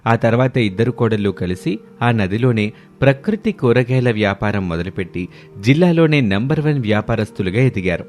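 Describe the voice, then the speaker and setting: A man's voice: fairly low pitch, lively intonation, moderate pace, darkish tone. A man narrating a scripted moral story in the manner of a storyteller.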